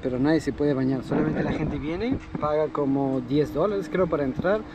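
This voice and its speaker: A man's voice: a little high, moderate pace, highs cut off, very noisy. A young man, chatting and teasing as he films a casual vlog tour on busy city streets. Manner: chatty, teasing, laughs often. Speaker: vlogger.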